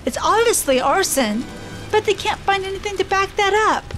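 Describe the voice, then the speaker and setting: Woman playing a very high-voiced, concerned neighbour. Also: lively intonation, normal speed, fairly bright tone. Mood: concerned, apologetic. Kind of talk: audio drama acting. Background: faint background music.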